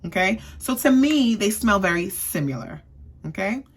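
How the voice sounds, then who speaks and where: A woman's voice: mid-range voice, sing-song, moderate pace, noisy background. A young woman from the East Coast giving a chatty, sassy perfume review, often checking in with "okay?". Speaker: beauty vlogger.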